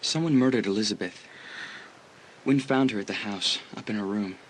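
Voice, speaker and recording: A man's voice: on the low side, normal speed, slight background noise, lightly acted, rough recording. A young man playing an easygoing, teasing teenage school athlete in an audiobook dramatisation, chatting casually. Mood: grave, confiding.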